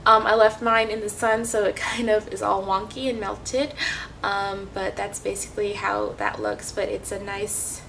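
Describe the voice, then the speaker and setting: A woman's voice: mid-range voice, unhurried, gravelly, fairly bright tone. A woman vlogger chatting casually through her makeup routine, sniffly with a cold and full of ums. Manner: chatty, many ums and restarts. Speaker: beauty vlogger. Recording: slightly distant mic, faint hiss.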